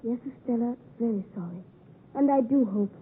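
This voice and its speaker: A woman's voice: a little high, normal speed, close to an everyday voice. A woman playing a polite, timid, honest young village boy with a meek, earnest manner in a 1950s radio drama. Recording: muffled, faintly noisy 1950s radio recording.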